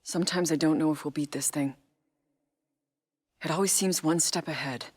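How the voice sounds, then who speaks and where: A woman playing a composed female operations agent in a video game, briefing a squad in a measured, matter-of-fact way. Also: deep voice, a little mellow, slightly rough, no echo. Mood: disappointed. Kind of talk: scripted video game voice lines.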